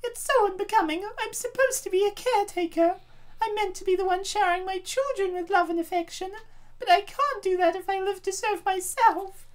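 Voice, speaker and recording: A woman's voice: extremely high pitch, fairly quick. A woman voicing an anxious, self-doubting motherly space princess in a comic dub, speaking with earnest, troubled hesitation. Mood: troubled confiding.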